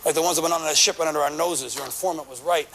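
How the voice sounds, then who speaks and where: An actor playing a terse, quietly compassionate police lieutenant in a crime drama, clipped and plain-spoken. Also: male voice, fairly high pitch, rapid-fire, noisy background, lightly acted. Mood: brisk, probing.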